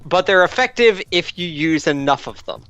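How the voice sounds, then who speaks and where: A man's voice: on the high side, animated, normal speed, fairly bright tone, lo-fi sound. A man joining a tabletop role-playing game as a player, with casual banter. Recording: music behind the voice.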